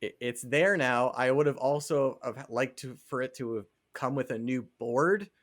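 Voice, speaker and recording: Man, medium pitch, dry sound, casual podcast chat, hesitant, thinking aloud. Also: moderate pace, dry recording. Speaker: podcast co-host.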